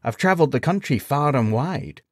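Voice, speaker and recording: A man voices an earnest young storybook knight. He sounds unsure of himself, hesitant and a little defensive, with expressive pitch in a mid-range male voice. The sound is dry. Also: normal speed, no room sound, close to an everyday voice. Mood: puzzled. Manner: hesitant, sheepish, faltering. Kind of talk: storybook character voice.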